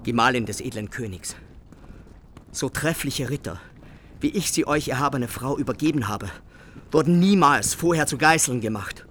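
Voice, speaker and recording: A man plays a noble warrior making a courtly, formal plea in a radio drama. His voice is mid-pitched, with faint noise behind it. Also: animated, moderate pace, a bit bright, natural voice. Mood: solemn appeal. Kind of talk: German radio drama acting.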